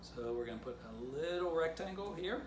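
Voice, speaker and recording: A man's voice: fairly high pitch, animated, fairly quick. A man presenting to children in a simple, informal way, as an author reading and teaching. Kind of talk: children's story reading and casual drawing lesson. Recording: roomy echo, clear background noise.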